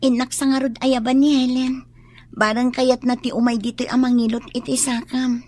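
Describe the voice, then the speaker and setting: High-voiced earnest Ilocano daughter. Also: female voice, little pitch movement, normal speed, a little mellow. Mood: worried, earnest. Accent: Filipino, Ilocano. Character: dutiful, worried grown daughter, short of money. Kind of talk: radio drama comedy acting.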